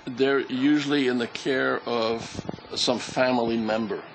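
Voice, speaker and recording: A man's voice: medium pitch, steady tone, measured pace, a little hiss or hum, rough recording. A man on a charity panel, answering audience questions in a hesitant way with many fillers.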